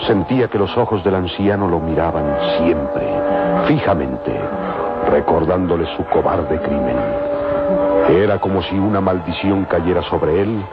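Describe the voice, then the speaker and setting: A man with an extremely deep voice narrates an old radio adventure serial. His phrasing is solemn and portentous. Loud music plays behind him on a dull, lo-fi recording. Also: a little slow.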